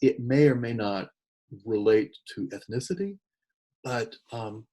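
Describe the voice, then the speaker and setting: A middle-aged man giving an unscripted talk on church ministry, halting with many fillers and restarts. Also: mid-range voice, mellow. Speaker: church consultant and author.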